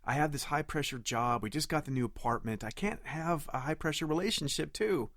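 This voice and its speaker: A man's voice: a little high, brisk, a bit bright, no room sound, clean studio sound. An actor playing a defensive, immature boyfriend in his thirties in an audio drama, emotional and indignant. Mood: defensive, pleading. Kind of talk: naturalistic audio drama acting.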